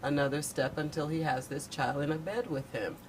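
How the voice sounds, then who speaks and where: A woman's voice: deep voice, steady tone, brisk, poor audio. A woman speaking earnestly and emphatically in a conversational panel discussion. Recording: faint background noise, room ambience.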